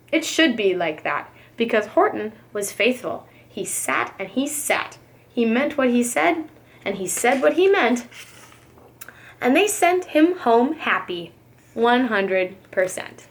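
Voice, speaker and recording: A woman reading a storybook aloud like a preschool teacher, expressive, with emphatic, rhythmic delivery. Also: fairly high pitch.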